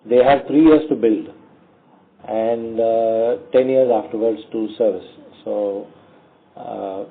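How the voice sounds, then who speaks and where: Male, Indian accent, company executive on a call. Measured, halting delivery, thin telephone sound. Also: on the low side, fairly even intonation, vocal fry. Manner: halting, measured, hesitant phrasing with frequent pauses. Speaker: company executive answering analyst questions. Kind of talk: earnings call question and answer. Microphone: conference call phone line. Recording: tinny narrowband phone line.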